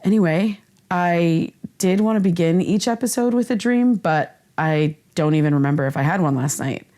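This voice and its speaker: A woman's voice: on the low side, lively intonation, moderate pace, warm tone, lightly acted. An actress playing an earnest, vulnerable young woman who confides in podcast listeners in a casual, hesitant, candid way. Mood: tentative, candid. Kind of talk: scripted podcast narration, confessional.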